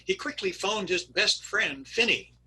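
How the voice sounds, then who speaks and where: A man's voice: high voice, expressive, a little slow, pushed and bright, slightly rough, rough recording. A man reading jokes aloud for a community theater over a video call.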